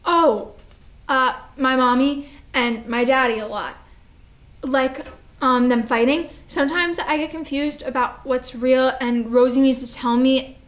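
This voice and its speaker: High-voiced, timid little girl played by an actress. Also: fairly even intonation. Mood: anxious, confiding. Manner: hesitant, halting, childlike. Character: young anxious little girl, timid and confused. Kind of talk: staged reading of a drama. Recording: roomy, echoing stage recording.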